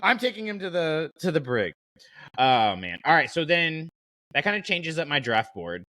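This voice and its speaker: A man hosting a casual fan podcast, talking in an animated, rambling way as he thinks aloud. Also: fairly high pitch, moderate pace, no room sound, rough recording. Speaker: podcast host.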